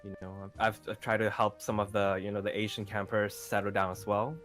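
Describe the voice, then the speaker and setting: A man's voice: lowish voice, level tone, brisk, a bit bright, lightly acted. A man playing an easygoing young confidant in an improvised game roleplay, talking in a casual, rambling, friendly way. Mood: chummy. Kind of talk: improvised Minecraft roleplay acting. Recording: music behind the voice.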